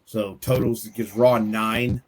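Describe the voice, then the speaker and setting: A man's voice: lowish voice, steady tone, takes it slowly, noisy background. A man co-hosting a wrestling podcast in casual chat, talking hesitantly and restarting often. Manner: hesitant, many fillers and restarts. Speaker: podcast co-host.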